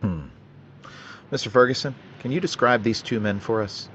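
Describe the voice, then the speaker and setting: An actor playing a police detective in a 1940s-style radio drama, asking questions in a level, matter-of-fact way. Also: male voice, medium pitch, normal speed, fairly bright tone, highs cut off, a little hiss or hum, lightly acted. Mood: businesslike. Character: calm male police detective, businesslike.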